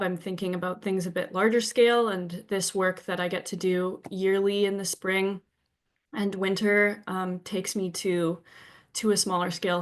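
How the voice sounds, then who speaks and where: A young woman from Victoria, British Columbia, a little sick and sniffling, giving a friendly talk to a club audience from home, with many ums and restarts. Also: medium pitch. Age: young adult. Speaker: guest presenter. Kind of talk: remote presentation talk. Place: home, presenting remotely.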